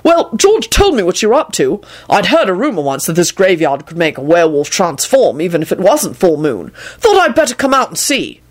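A woman's voice: on the low side, wildly swooping pitch, a little fast, few pauses. A woman voicing a cheerful, courteous male wizard with a mild, matter-of-fact manner in a story reading. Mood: cheerful, polite.

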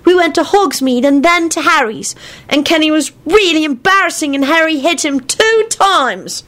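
Lady doing a whiny sulky little kid from an audiobook, really high, drags words out, all over the place. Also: animated, a bit bright. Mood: sullen. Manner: drawn-out, whining delivery. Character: sulky wailing young boy, loud and whiny.

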